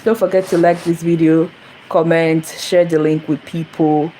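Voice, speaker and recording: Low-voiced young woman chatting on a livestream. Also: flat delivery, unhurried, warm tone, a little hiss or hum, poor audio. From Nigeria. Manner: rambling, repeats herself, greets viewers. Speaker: channel host. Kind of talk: casual livestream chat. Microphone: phone camera.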